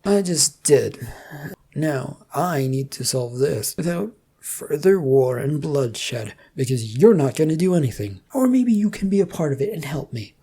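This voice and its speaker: Lady doing a blunt tired hero guy for a story, plain-spoken, low and mellow. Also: big pitch swings, a little slow, slightly rough, exaggerated voice. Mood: exasperated, firm. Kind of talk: audio storybook character voice.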